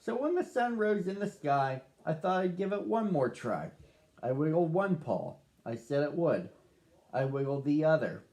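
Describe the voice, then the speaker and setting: A man reading a rhyming picture book aloud to children, with a slow, sing-song rhythm. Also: fairly high pitch, sing-song, a little mellow. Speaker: storybook reader.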